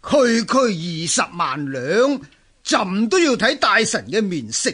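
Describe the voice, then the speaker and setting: A man's voice: sits high, sing-song, takes it slowly, pushed and bright, husky, heavily acted. A man playing a petulant, imperious emperor in a radio drama, speaking in a haughty, complaining way. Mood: indignant, petulant. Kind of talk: Cantonese radio drama acting.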